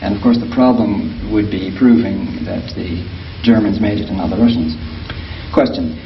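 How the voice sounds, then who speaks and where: A man presenting documents, reading aloud and commenting in a halting, dryly sardonic manner. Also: on the low side. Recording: echoey, noisy, distant microphone, muffled.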